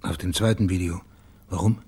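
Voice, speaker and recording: A man's voice: low voice, steady tone, moderate pace, warm tone, slightly rough. An actor playing a laconic, matter-of-fact male private detective in a radio drama, persistent in his questioning. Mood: sober, musing.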